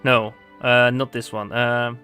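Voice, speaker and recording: A man's voice: mid-range voice, level tone, slow pace, pushed and bright, smooth, soft background music. A man with a Dutch accent, chatting casually while streaming a game, giggly and hesitant with many ums. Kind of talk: casual gameplay commentary.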